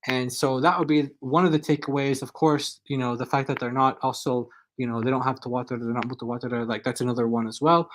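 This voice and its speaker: A man's voice: medium pitch, flat delivery, fast talker, few pauses. A man talking casually and off the cuff as a guest on a religious discussion show, often repeating and restarting his phrases.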